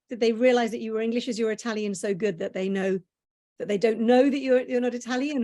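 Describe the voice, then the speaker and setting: A woman's voice: on the high side, darkish tone. A woman interviewer who asks engaged, enthusiastic questions of an author.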